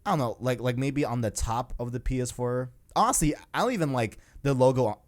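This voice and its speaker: A man's voice: medium pitch, fairly quick. A man chatting informally and ramblingly as host of a gaming podcast, with slangy asides. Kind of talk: casual gaming podcast chat.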